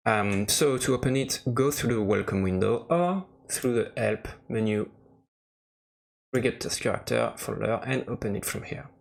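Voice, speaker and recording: A man who speaks English as a second language, presenting an informal software demo in a halting, hesitant way with frequent pauses. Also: mid-range voice, vocal fry. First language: non-native English speaker.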